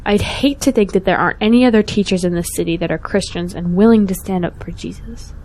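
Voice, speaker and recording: A woman's voice: mid-range voice, fast, a little mellow, slight background noise, lightly acted. A young woman playing a principled, outspoken schoolteacher in an audio drama, earnest and pointed. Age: young adult.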